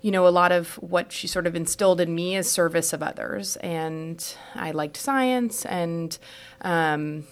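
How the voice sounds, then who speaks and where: Creaky-voiced American woman, lowish pitch. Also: unhurried. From Houston, United States. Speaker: podcast guest, trauma surgeon. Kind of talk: conversational personal narrative in an interview.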